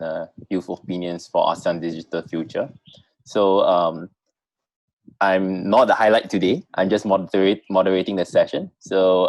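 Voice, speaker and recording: A man's voice: deep voice, darkish tone, no echo, low-quality recording. A man moderating an online panel in a polite, semi-formal style. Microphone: online video call.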